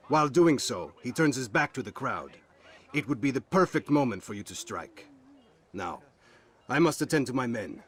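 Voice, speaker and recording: An actor playing a composed, businesslike male assassin in a video game, giving advice in a measured, formal manner. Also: medium pitch, close to an everyday voice. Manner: measured, formal, matter-of-fact.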